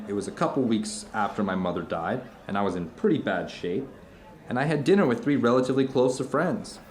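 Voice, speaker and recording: An actor playing an intense, animated friend at dinner, telling stories in an emphatic, rambling, philosophical way in an audio drama. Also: male voice, mid-range voice, lightly acted. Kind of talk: conversational audio drama dialogue.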